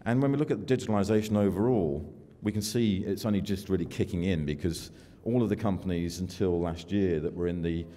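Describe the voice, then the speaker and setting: An older man from the United Kingdom giving a conference keynote at a podium in a large hall, measured and self-deprecating, with fillers between thoughts. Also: low voice, mellow. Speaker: keynote speaker. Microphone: podium microphone. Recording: long hall reverb, faint room noise.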